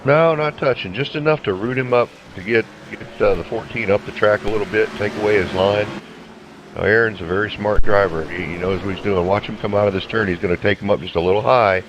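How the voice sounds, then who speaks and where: A man's voice: lowish voice, a little fast. A man working as a race color commentator, explaining things in a conversational way during live coverage. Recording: clear droning engine noise behind.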